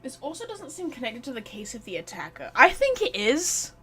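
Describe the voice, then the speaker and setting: Female game streamer, bright and fairly high, chatty, thinking aloud to her chat. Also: huge pitch swings, a little fast, breathy edge, soft background music. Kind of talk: casual live game commentary.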